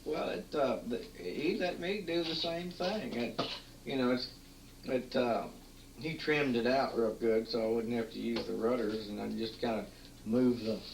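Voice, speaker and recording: A man, casually narrating old family home movies at home with many halting pauses and restarts. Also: mid-range voice, creaky. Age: 40s. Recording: roomy, distant, faint hiss, 1980s home tape.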